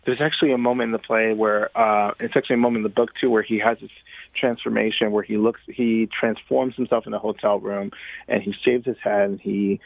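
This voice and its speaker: A man's voice: lowish voice, steady tone, brisk, vocal fry, no room sound. Man in muffled, dry-sounding interview audio. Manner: informal, thinks aloud with "like" and acted-out quotes. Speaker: playwright and director being interviewed. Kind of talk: conversational radio interview.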